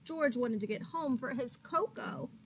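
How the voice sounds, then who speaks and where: A woman teacher reading a picture book aloud to young children, expressively, pausing to put questions to them and gasping for suspense. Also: fairly high pitch, a little hiss or hum. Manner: expressive, addresses children as friends, gasps for suspense.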